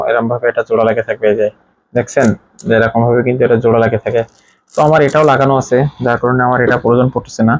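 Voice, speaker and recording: A man with a Bangladeshi accent talks through a hands-on setup in a casual way. His pitch sits in the middle for a male voice. He explains step by step and often repeats phrases, in a dry recording with little room sound. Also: dry recording, poor audio. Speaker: tech product reviewer. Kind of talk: hands-on installation tutorial, casual spoken demonstration.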